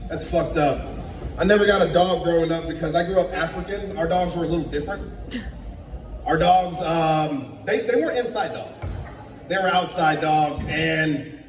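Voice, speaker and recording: A young man from Liberia performing a stand-up comedy set in a club, telling animated stories and acting out both sides of the dialogue. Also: fairly high pitch, normal speed, soft background music. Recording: echoey club sound from a distance. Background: audience laughter.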